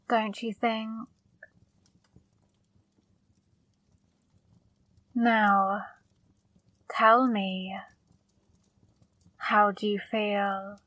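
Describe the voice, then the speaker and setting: Woman playing a very slow, flirty demoness, ASMR. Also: mid-range voice, no echo. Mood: seductive, scolding. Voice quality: soft, breathy, partly whispered. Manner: slow, coaxing, teasing. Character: flirty, teasing female demon, gently scolding. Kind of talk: ASMR roleplay, intimate direct address. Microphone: close microphone, dry.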